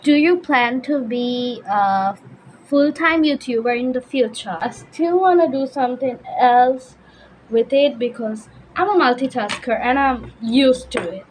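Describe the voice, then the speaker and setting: High-voiced teenage girl, noisy background. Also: measured pace. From Bangladesh, raised mostly in Dhaka. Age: teenager, fifteen. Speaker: YouTuber answering viewer questions. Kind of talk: casual chatty Q&A.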